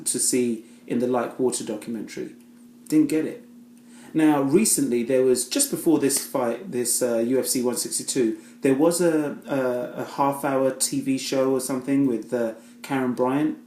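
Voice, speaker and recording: A man's voice: mid-range voice, warm tone, a little hiss or hum. Rambling British man in an echoey room. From Britain. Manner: rambling, many fillers and restarts. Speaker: film reviewer. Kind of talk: casual spoken review.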